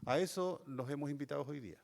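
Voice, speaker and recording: A man's voice: a little high, quick pace, creaky, darkish tone, long reverb tail. A man hosting an event, formally introducing the guest speaker.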